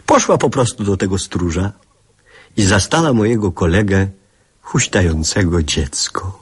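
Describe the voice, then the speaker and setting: An actor playing a wistful grown man who narrates memories of his boyhood in a measured, reflective radio drama style. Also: low voice, animated, breathy edge.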